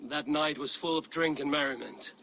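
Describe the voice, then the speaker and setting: Stern, bright-voiced Norse warrior. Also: male voice, a little high, normal speed, gravelly, a little hiss or hum. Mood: earnest. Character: proud, blunt Norse warrior seeking to regain honor. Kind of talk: dramatic video game acting.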